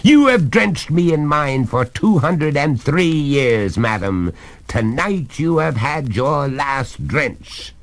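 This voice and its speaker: A storybook gentleman of a haunted hall, acted out by a man, courteous on the surface and coldly taunting underneath. He speaks firmly and unhurriedly, with a pitch that rises and falls widely. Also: lively intonation, slow. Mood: cold triumph. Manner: polite, firm, coldly taunting.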